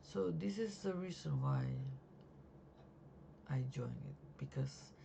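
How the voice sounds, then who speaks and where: Raspy sleepy insurance agent rambling slow at home late at night, lots of you-knows, dark mellow tone. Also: mid-range voice, expressive, slight background noise. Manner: halting, many fillers and restarts. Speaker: life insurance agent, still learning. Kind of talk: casual explainer video.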